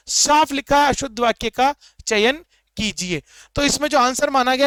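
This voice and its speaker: A man's voice: extremely high pitch, fairly bright tone, smooth, dry recording. A man teaching exam preparation, walking through answer keys with repeated phrases and rhetorical questions. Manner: repetitive, explanatory, rhetorical questions.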